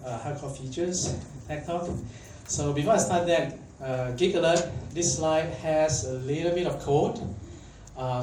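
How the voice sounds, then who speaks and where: A man's voice: medium pitch, a little mellow. Malaysian-born man speaking in an echoey hall. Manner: chatty, filler-heavy, asks the audience questions. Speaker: tech conference speaker. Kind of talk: casual conference talk. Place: conference hall. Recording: echoey hall, mic at a distance, faint background noise.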